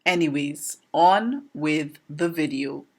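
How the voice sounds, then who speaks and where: A woman with a Trinidadian accent and a slightly hoarse voice, teaching informally in a deliberate, questioning way, like an educator talking to viewers. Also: low voice. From Trinidad and Tobago. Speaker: language educator, presenter. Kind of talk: informal explanatory lesson to camera.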